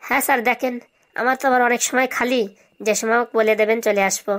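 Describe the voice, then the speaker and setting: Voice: medium pitch, fairly even intonation, fast talker, fairly bright tone, lightly acted. A performer voicing a brazen, glib schoolboy in a comedy cartoon. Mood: pleading, boastful. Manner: glib, answers back with absurd confidence. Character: cheeky, brazen schoolboy with buck teeth.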